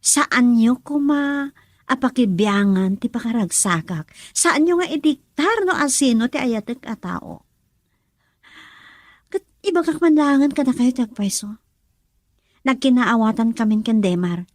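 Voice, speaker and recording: High-voiced lovestruck daughter. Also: female voice, animated, unhurried, pauses often, dry recording, studio quality. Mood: indignant pleading. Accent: Ilocano. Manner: pleading, emotional, melodramatic. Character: young woman in love, defiant daughter. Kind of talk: radio drama acting in Ilocano.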